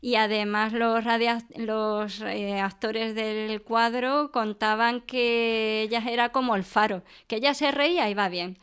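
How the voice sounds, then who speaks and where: Unhurried, clear-voiced woman professor. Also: on the high side, fairly bright tone. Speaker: professor being interviewed about classical radio theatre. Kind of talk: conversational interview, explaining.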